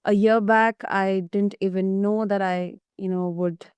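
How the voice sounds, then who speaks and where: A woman hosts an interview, affirming and enthusiastic with her guest. Her voice is smooth and clear at a mid pitch. The sound is dry and close, as on a video call. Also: flat delivery, a little slow, darkish tone, dry recording. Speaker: podcast host. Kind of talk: casual interview talk.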